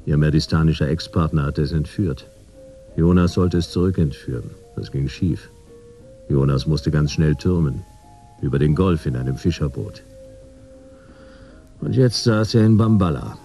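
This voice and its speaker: Stranded gumshoe for sci-fi radio play: very low, creaky voice, laconic and sardonic. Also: male voice, normal speed, pauses often, dark tone, faint music behind. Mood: sardonic narration. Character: weary, sardonic male private detective, broke and stranded. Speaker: narrator and lead character. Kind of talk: hard-boiled detective radio drama narration and dialogue.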